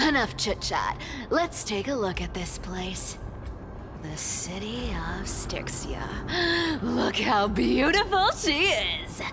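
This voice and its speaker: A woman's voice: a little high, very animated, slow pace, music in the background, heavily acted. An actress playing a cunning, flamboyant, treasure-loving boss lady in a video game, theatrical and bossy with a playful streak. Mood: theatrical, delighted.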